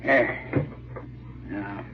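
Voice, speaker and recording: A man's voice: on the low side, very slow. An actor playing a jumpy, bloodthirsty, grumbling bandit henchman in an old-time radio drama. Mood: wary, insistent. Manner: wary, grumbling. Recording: muffled, noisy vintage radio recording.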